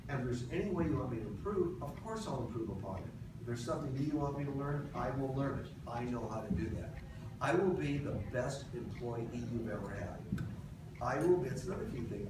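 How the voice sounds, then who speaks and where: A man with a fairly high voice coaching an audience, talking in a direct, emphatic way and repeating key points. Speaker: speaker at a workshop for veterans. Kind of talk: coaching talk to a live audience. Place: large echoey room with an audience. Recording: roomy, distant, noisy.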